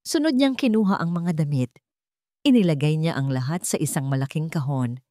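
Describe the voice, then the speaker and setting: Low-voiced Filipino woman narrating, dramatically expressive. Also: brisk, no room sound, studio quality. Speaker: story narrator. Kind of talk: dramatic scripted audiobook-style narration.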